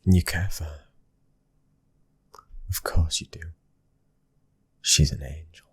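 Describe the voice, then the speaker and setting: A British man playing a tender, devoted mafia husband and father in a soft ASMR roleplay, speaking in a gentle, breathy hush and often whispering. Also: extremely low pitch, steady tone, slow pace, no echo, full character voice. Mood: soothing, hushed.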